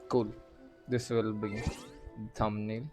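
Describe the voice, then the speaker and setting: A man streaming a video game, murmuring casual commentary as he haltingly thinks aloud. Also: lowish voice, little pitch movement. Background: faint game music.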